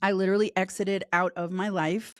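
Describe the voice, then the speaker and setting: A woman guest with a raspy voice from a cold, telling her story in a candid, talkative way during a casual interview. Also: medium pitch, level tone, fairly quick, no room sound, studio quality.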